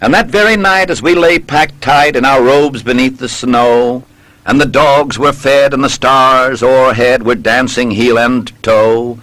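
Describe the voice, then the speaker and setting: A man recites narrative verse at a slow, deliberate pace. His delivery is dramatic and story-driven, with line endings stretched out. The sound is dry and close, with very little room. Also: medium pitch, steady tone, fairly bright tone, dry recording, poor audio. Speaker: poem reader.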